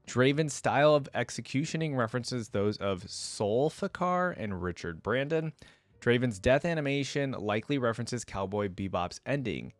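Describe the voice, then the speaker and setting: A man's voice: lowish voice, dry recording. A man hosting a reaction video, commenting casually and informally as he thinks aloud.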